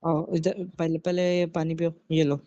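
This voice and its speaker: A man's voice: on the high side, little pitch movement, moderate pace, dark tone, no echo, natural voice. A man playing a young husband in a casual couple skit, coaxing and chiding his wife in a repetitive way. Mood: concerned. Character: concerned young husband, soothing yet scolding his wife. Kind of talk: acted phone-recording style couple skit in casual speech. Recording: dull, lo-fi audio.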